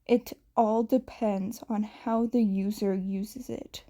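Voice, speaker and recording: Stern deadpan guardian man read by a woman, unhurried, storybook dialogue. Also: medium pitch, close to an everyday voice. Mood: calm, explaining. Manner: deadpan, matter-of-fact.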